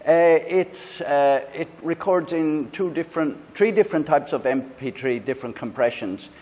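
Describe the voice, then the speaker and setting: A man with an Irish accent, hosting a casual product review on a lavalier mic, talking in a halting way with many fillers and restarts. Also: a little high, expressive, darkish tone. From Ireland. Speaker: tech reviewer, channel host. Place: indoors in a room with a fan, then outdoors by a busy road.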